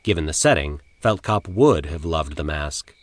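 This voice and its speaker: A man reading a scripted first-person horror story in a formal, literary, measured manner. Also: rumbling low, expressive, dry recording. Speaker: narrator of horror fiction.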